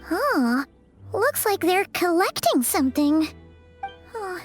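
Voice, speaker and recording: A woman voicing a small, excitable, jumpy fairy-like girl companion in a video game. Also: extremely high pitch, takes it slowly, faint music behind, heavily acted. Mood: puzzled, spooked. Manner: refers to self in third person, exclaiming.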